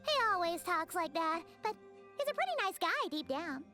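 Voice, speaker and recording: A woman's voice: very high-pitched, sing-song, normal speed, sharp tone, soft background music, full character voice. A woman voicing a tiny, chatty, cheeky female fairy companion in a video game. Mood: teasing. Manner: chatty, teasing, scolding.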